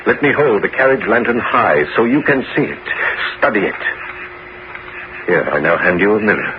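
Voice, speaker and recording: An actor playing an aging bishop and former musketeer in a 1970s radio drama, grave and resolute, with theatrical, deliberate, emphatic phrasing. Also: male voice, on the low side, expressive, lightly acted. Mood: conspiratorial, resolute. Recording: muffled, noisy 1970s radio broadcast recording.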